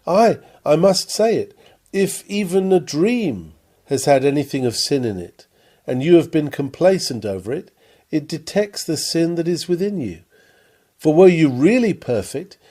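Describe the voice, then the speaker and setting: A man reading an old-fashioned sermon aloud in an earnest, rhetorical manner with deliberate phrasing. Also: on the high side, mellow. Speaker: sermon reader.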